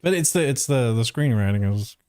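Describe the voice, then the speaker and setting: A man's voice: mid-range voice, animated, fairly quick, a little mellow, a little hiss or hum. A young man who voice acts, giving casual, analytical reaction commentary in a hesitant, searching way. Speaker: voice actor reacting and reviewing.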